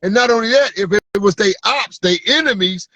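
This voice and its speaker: A man's voice: sits high, brisk, bright, forceful tone, no room sound, highs cut off. A man hosting a podcast in casual street slang, pressing his points and asking if the listener follows him. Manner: emphatic, street slang, asks "you feel me". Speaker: podcast host.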